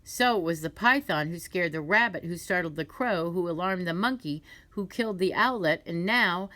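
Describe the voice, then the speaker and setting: A woman doing a loud, stern lion king. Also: lowish voice, moderate pace. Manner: loud, formal questioning. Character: commanding male lion king, stern judge.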